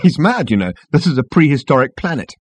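An exasperated male traveller in a comic storybook, acted by a man. His pitch leaps high and swoops with incredulity, and he retorts sharply and emphatically. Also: very animated, quick pace, slightly rough, dry recording.

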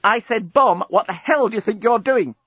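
A man voicing a brisk, indignant young woman lawyer in a comic sci-fi audiobook, exclamatory, firm and urgent. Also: sits high, sing-song, a bit bright, slightly rough, no echo, highs cut off, voice pushed up, exaggerated voice. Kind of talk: comic science fiction audiobook.

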